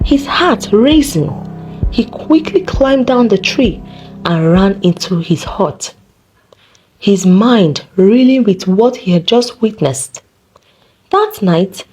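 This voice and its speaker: A woman narrating a scripted folktale in a dramatic, deliberate storytelling manner. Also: mid-range voice, a little mellow, slightly rough, poor audio.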